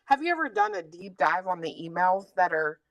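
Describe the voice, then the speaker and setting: A woman's voice: lowish voice, very animated, a little fast, dry recording, distant mic. A woman on a group stream, chatting casually with blunt, salty banter. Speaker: stream panelist.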